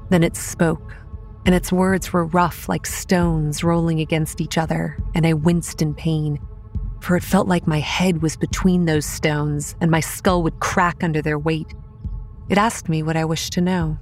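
A young woman playing a wry campground manager, telling a horror story in a measured, scripted first-person style. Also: low-pitched, level tone, darkish tone. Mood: grave. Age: young adult. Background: music.

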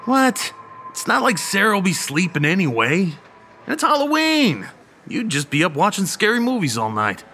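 Animated young college slacker. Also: male voice, high-pitched, moderate pace, faint music behind, heavily acted. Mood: teasing. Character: eager, wheedling male college student and stoner slacker. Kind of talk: audio horror drama acting.

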